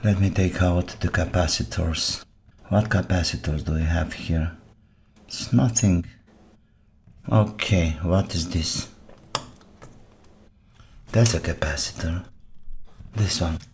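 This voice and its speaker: Low-voiced man muttering slowly at a workbench. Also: fairly even intonation, a little mellow, slight background noise. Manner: muttering to himself in short fragments with pauses. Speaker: electronics repair video maker. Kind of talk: thinking aloud while repairing electronics.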